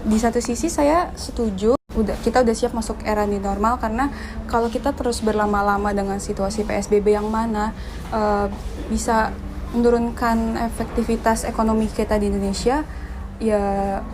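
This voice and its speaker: A woman's voice: fairly high pitch, steady tone, normal speed, noisy background. A woman in her twenties who works as a makeup artist, answering interview questions in a chatty, casual way. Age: 20s.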